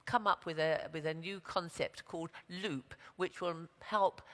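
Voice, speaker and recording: A woman's voice: lowish voice, unhurried. A woman presenter giving an emphatic stage introduction of a speaker in a large hall. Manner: emphatic, commending tone. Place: large hall before a big audience. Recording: long reverb of a big hall.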